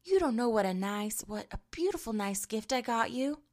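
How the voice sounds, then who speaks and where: A woman voicing an anxious young wife who talks in a high, eager, pleading way. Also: animated, normal speed, a little mellow, natural voice.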